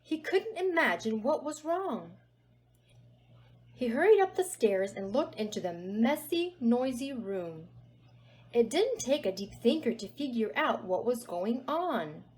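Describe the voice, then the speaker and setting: A woman's voice: a little high, sing-song, unhurried, darkish tone, poor audio. A woman narrating a children's storybook aloud expressively, with dramatic emphasis on key words. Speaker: storybook reader.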